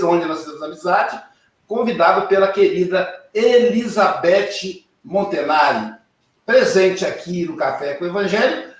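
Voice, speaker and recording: Effusive man, very echoey and distant. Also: high-pitched. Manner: warm, effusive introductions. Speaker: host. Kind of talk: live online show hosting.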